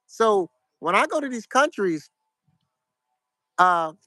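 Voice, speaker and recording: A middle-aged man from New York with a New York accent, giving casual, soft-spoken advice to his viewers in a fairly flat, monotone way. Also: high voice, slow pace, dry recording. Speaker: vlogger.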